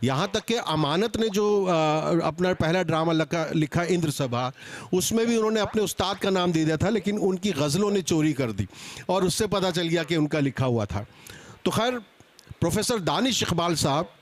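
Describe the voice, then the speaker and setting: Man, fairly high pitch, panel moderator, unscripted introductions, conversational, pausing and restarting. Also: expressive. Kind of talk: extempore seminar introductions. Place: public seminar at a book event.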